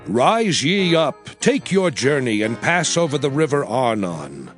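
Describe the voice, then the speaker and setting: Solemn prophet leader, scripture drama. Emphatic oratory, animated pitch, light music bed. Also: male voice. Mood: solemn, resolute. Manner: declamatory, emphatic, deliberate.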